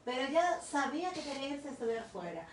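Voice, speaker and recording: Anfitriona de voz aguda, lejana, con eco. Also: female voice, high-pitched, sing-song, fast talker, a little hiss or hum. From Dominican Republic. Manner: summarizing, guiding the story forward. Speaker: interview host. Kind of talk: conversational interviewing. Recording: echoey room, distant mic.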